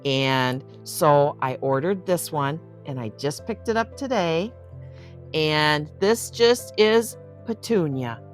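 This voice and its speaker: Older lady reading kids books out loud, low voice, slow pace, gushy chatty asides to the listeners. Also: lively intonation, soft background music. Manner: effusive, exclaiming, talks directly to young listeners. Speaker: host reading picture books aloud. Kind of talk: storybook read-aloud with chatty asides.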